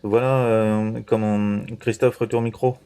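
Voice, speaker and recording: Clear, low-pitched man on CB radio. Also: flat delivery, warm tone. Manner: repetitive, halting, with verbal tags. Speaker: amateur radio operator. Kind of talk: casual CB radio contact chat.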